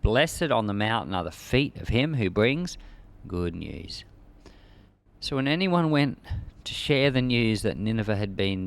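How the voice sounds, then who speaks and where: A man's voice: lowish voice, vocal fry. A man giving a conversational Bible-teaching sermon, explaining with everyday examples and rhetorical questions, repeating key phrases for emphasis. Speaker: preacher teaching a Bible chapter.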